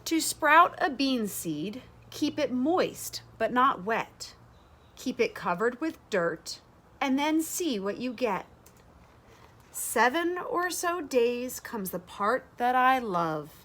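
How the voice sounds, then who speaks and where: Woman, thirties, picture-book reader for kids. Animated intonation, higher voice, deliberate delivery. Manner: deliberate, expressive phrasing for children. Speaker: educator reading a picture book aloud. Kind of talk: children's read-aloud of rhyming verse. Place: bright sunroom with large windows, indoors.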